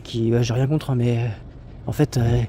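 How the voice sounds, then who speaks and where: A man's voice: medium pitch, steady tone, normal speed, dark tone, slight background noise. A man talking casually about solo travel, rambling with many fillers, restarts and blunt slang. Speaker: travel vlogger. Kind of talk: casual spoken monologue.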